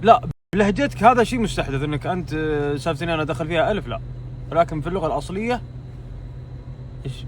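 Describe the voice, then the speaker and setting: A man's voice: fairly high pitch, animated, very fast, long pauses, a little hiss or hum. A Saudi man arguing a grammar point with friends in a casual chat. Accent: Saudi Arabic. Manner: argumentative, explaining grammar.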